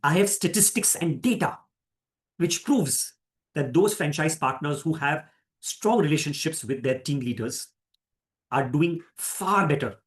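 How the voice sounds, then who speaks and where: A man with an Indian accent giving an orientation talk as a company director, speaking deliberately and emphatically, repeating phrases for emphasis. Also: a little high. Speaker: managing director leading an orientation. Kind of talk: orientation talk to new franchise partners.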